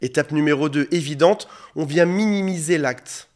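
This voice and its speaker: A man in his forties with a head cold and a slightly hoarse voice, speaking as a relationship coach in an earnest, direct monologue to the camera. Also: fairly high pitch, moderate pace. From France. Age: 40s. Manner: earnest, direct, addresses viewer informally. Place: indoor studio under bright lights. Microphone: facing a camera.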